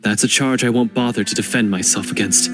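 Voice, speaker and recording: A man's voice: mid-range voice, fairly even intonation, brisk, music in the background, natural voice. A man voicing a remorseful young male hunter in a game cutscene, speaking in an earnest, hesitant, self-reproaching way and stammering at times. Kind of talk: video game cutscene voice acting.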